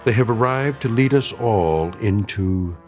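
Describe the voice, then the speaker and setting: An actor playing a measured, ominous narrator of a mystery radio drama. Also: male voice, fairly low pitch, lively intonation, a little mellow, soft background music.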